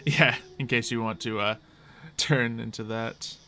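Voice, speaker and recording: Male game master, mid pitch, bright tone, informal and mumbly during setup, slightly noisy recording. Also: steady tone, slow pace. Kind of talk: casual chat running a tabletop roleplay game.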